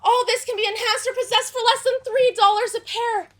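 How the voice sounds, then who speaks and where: A woman's voice: extremely high pitch, fairly even intonation, fairly quick, a bit bright. A young woman in a school stage play, playing an idealistic actress who lectures at length in a theatrical manner. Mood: lecturing.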